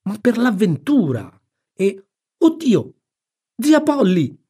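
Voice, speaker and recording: A man voicing a brash, excitable adventurous boy from a storybook, exclaiming and impulsive. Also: high voice, a little fast, slightly rough, voice pushed up. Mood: excited, insistent.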